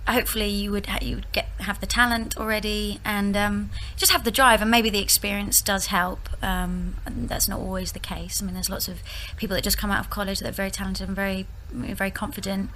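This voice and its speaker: A woman's voice: medium pitch, little pitch movement, a little fast, hardly any pauses, fairly bright tone, a little hiss or hum, low-quality recording. Giggly actress in her thirties. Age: 30s. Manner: giggly, hesitant, chatty. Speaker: musical theatre actress, guest. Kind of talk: casual interview chat.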